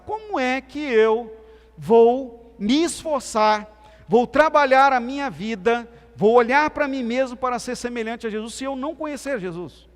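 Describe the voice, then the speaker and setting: A man from Minas Gerais with a softened Minas Gerais accent, preaching a sermon as pastor with emphatic swings between loud and soft delivery. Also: extremely high pitch. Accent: Brazilian Portuguese, softened Minas Gerais. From Minas Gerais, Brazil. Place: church sanctuary. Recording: church hall reverb.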